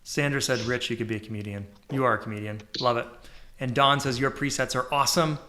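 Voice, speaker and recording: An upbeat man co-hosting a live photography podcast. He keeps a casual, promotional chat going, mid-range in pitch, and drops in plenty of y'alls. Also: fairly even intonation, quick pace, bright, forceful tone. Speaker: podcast co-host.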